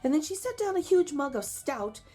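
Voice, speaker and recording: Female storyteller, animated and dramatic, reading to young children over soft music. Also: sits high, moderate pace. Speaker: storyteller reading a picture book aloud. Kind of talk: expressive read-aloud for children with chatty asides.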